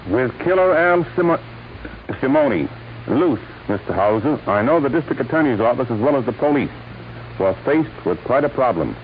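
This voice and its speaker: A formal male police commissioner played by a man hosts an old radio crime show. His scripted lines move up and down in pitch. The recording is tinny and faintly noisy. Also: very animated, moderate pace, natural voice. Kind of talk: scripted 1940s radio narration.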